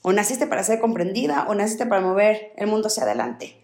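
A woman's voice: mid-range voice, big pitch swings, fast talker, a little mellow, roomy sound. A young woman from Ecuador giving an earnest motivational talk, repeating phrases for emphasis. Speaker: motivational speaker.

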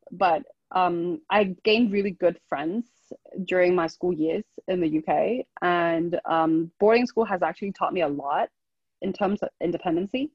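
A woman's voice: fairly low pitch, breathy edge, no echo, lo-fi sound. A woman from Hong Kong talking casually as an interview guest, telling her own story.

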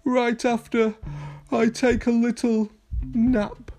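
Guy doing a little excitable boy puppy for a kids story, high voice, draws words out. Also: takes it slowly, slightly rough, soft background music, exaggerated voice. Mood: sleepy, whiny. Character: young eager excitable cartoon puppy, male.

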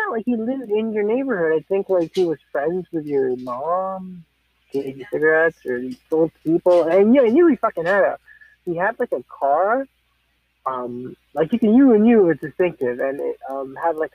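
A man's voice: fairly high pitch, expressive, moderate pace, husky, no echo. A man in his thirties telling rambling, profane anecdotes in casual chat. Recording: tinny phone-like sound.

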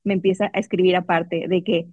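A woman's voice: lowish voice, level tone, brisk, a little mellow, husky, dry recording. A woman telling a personal story in a casual, chatty way, often quoting herself. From Mexico. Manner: chatty, laughing, quotes herself and others. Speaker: guest telling her love story.

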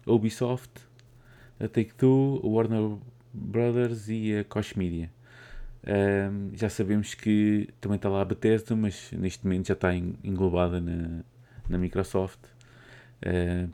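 Portuguese guy hosting a gaming podcast, lowish warm voice, lots of ehms. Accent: European Portuguese. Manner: chatty, rambling with many fillers. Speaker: podcast host. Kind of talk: casual gaming podcast chat.

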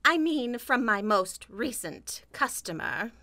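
A woman's voice: on the high side, sing-song, slow, sharp tone, no echo, exaggerated voice. A woman playing a cheerful, sly potion-selling witch with a theatrical, airy sales manner in a comedic audio drama.

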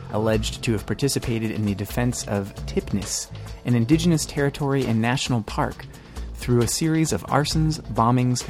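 A man co-hosting a podcast, reading scripted news and commentary in a measured, articulate way. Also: mid-range voice, a little mellow. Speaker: podcast co-host.

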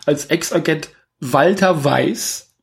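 A man's voice: on the high side, takes it slowly, creaky. A man co-hosting a casual film review podcast, talking like an enthusiastic fan who often stops to correct himself. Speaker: podcast co-host reviewing films.

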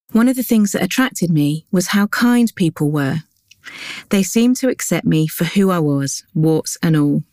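A woman giving a calm, measured scripted devotional reading that moves into a guided prayer. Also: fairly low pitch, no echo, studio quality. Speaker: devotional reader.

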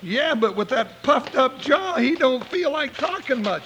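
A big comic cowboy sidekick with a strikingly high male voice. He is folksy, excitable and full of loyal bluster. The old radio western recording is noisy. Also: a little slow, heavily acted.

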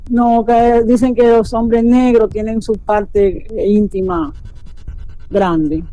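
A woman's voice: fairly high pitch, fairly even intonation, slow, mellow. A woman answering on a phone call, hesitant and often repeating herself. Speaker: prank call target. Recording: phone call with music underneath.

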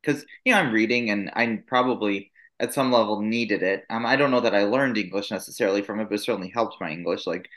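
A man's voice: lowish voice, fast talker, hardly any pauses, a bit bright. A man in his thirties who grew up speaking Italian first, talking as a podcast guest and telling his story in a rambling way with many fillers and restarts. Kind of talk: casual podcast interview.

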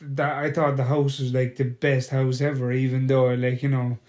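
Young co-host, halting and filler-heavy, very flat, even pitch. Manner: hesitant, filler-heavy, "like, you know".

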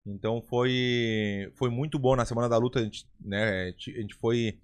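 A man's voice: mid-range voice, steady tone, moderate pace, clean tone. A man, a podcast host chatting casually, repeating his words and laughing often. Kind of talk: casual chat.

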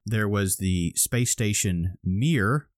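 A man's voice: fairly low pitch, slow pace, dry recording, studio quality. A man co-hosting a podcast, explaining a topic step by step in casual chat, a little groggy. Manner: explains step by step with many restarts. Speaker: podcast co-host.

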